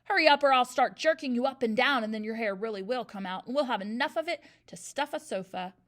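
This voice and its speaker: A woman's voice: fairly high pitch, animated, fairly quick, close to an everyday voice. A woman doing a bellowing bully headmistress. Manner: barking, bellowing, sarcastic. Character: huge bullying female headmistress, furious and brutal.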